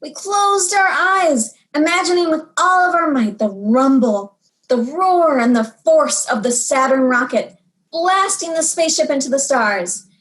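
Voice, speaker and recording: A woman reading a storybook aloud to young children in an expressive way, drawing out words for dramatic emphasis. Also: high voice, a little slow, low-quality recording.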